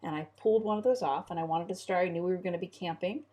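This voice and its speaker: A woman's voice: on the low side, racing, mellow. A middle-aged woman speaking conversationally as a parent and co-host.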